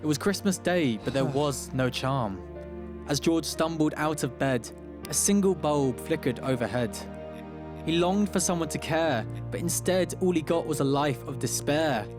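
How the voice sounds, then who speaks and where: High-pitched male storybook narrator over music. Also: animated, moderate pace. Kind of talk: rhyming storybook radio narration.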